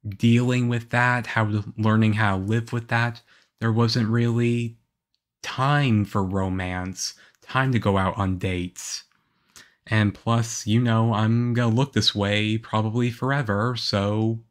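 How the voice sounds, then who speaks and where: A man playing a shy, nervous teenage-looking vampire boyfriend in an ASMR roleplay, halting and stammering awkwardly. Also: fairly low pitch. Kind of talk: ASMR audio roleplay, one-sided conversation.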